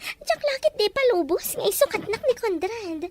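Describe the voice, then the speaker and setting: A woman's voice: extremely high pitch, expressive, moderate pace, a little hiss or hum. A woman playing a grasping, besotted wife in an audio drama, with a whiny, insistent delivery. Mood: greedy, scheming. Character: scheming, grasping wife, besotted with her lover. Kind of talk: Ilocano audio drama acting.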